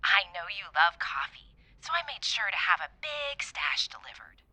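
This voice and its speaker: Bright, sharp kindly woman on telephone. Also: medium pitch, big pitch swings, normal speed, full character voice. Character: kindly, solicitous female client and benefactor. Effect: telephone filter. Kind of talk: audio drama phone dialogue.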